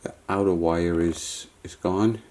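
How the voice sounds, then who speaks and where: A man's voice: low-pitched, fairly even intonation, slow pace, darkish tone. A man giving a casual hands-on tutorial, thinking aloud to viewers as he works, in the manner of a repair video presenter. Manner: thinks aloud while working, talking to viewers.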